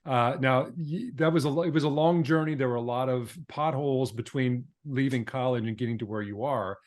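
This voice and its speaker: A man's voice: medium pitch, fairly quick. A man co-hosting a podcast and interviewing a guest in a casual conversational style.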